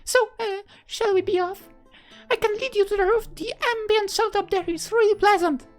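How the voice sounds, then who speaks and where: A woman's voice: very high voice, normal speed, soft background music. A woman voicing a bubbly, easily flustered, sweet schoolgirl in a visual novel game. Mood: excited.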